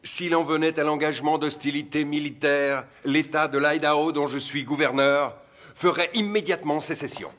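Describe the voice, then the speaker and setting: A man's voice: fairly high pitch, steady tone, normal speed, a bit bright, long reverb tail, natural voice. A man playing a state governor in a dubbed film, speaking with insistence and urgency. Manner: pleading, insistent.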